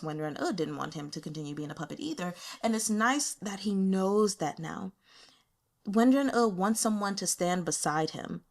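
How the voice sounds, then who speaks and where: A woman giving casual, chatty commentary as a host, with an animated, gushing, giggly and expressive manner. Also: mid-range voice. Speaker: audiobook reader and host.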